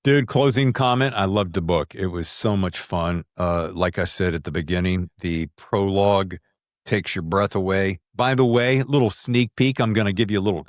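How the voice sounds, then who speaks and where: A man's voice: sits low, normal speed, no room sound, studio quality. A man hosting a podcast, talking in a chatty, informal way as he interviews a guest about books. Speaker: podcast host. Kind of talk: casual conversational book interview.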